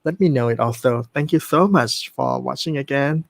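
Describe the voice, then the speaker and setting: A man's voice: medium pitch, quick pace, gravelly, no echo. Hesitant Thai-accented man, dry sound. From Thailand. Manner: hesitant, many fillers and restarts. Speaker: host. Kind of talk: casual interview chat.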